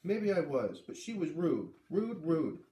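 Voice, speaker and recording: Guy reading as an indignant young girl, higher pitched, insistent, storybook dialogue, echoey room. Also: big pitch swings, a little slow, warm tone, recorded from a distance. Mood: defensive. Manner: insistent, protesting. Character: earnest, indignant young girl defending herself.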